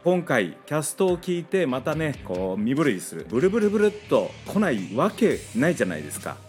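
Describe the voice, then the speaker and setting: A man who acts with his voice, giving an excitable, chatty, casual promotional testimonial. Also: a little high, brisk, faint music behind. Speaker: voice actor.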